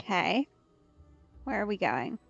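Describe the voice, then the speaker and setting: A woman gives casual game commentary in a highish, very animated voice. She talks at an unhurried pace, playfully thinking aloud, on a slightly dull microphone. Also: vocal fry. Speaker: game streamer. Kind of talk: casual let's play commentary. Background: faint game music.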